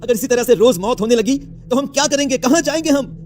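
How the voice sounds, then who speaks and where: A man's voice: extremely high pitch, lively intonation, a little fast. A man voicing a frightened villager in a cartoon drama, imploring in distress. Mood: desperate. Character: frightened, desperate village man begging for help. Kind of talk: Hindi cartoon drama voice acting.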